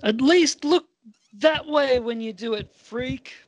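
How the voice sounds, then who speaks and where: A man's voice: very high voice, slow, no room sound, voice pushed up. A man playing an exasperated male college student in an amateur radio play, indignant and drawing out his words. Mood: annoyed.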